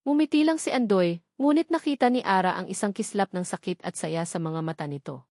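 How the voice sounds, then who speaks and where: Female storyteller, scripted dramatic narration, mid-pitched voice, very dry close recording. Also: fairly quick, a little mellow, no echo.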